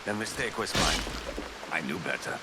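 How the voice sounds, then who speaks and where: An actor playing a bitter, haughty old slaver in a video game, formal, pompous and contemptuous. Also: male voice, sits low, expressive, moderate pace, very noisy. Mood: cold contempt. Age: older. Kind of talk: cinematic video game acting.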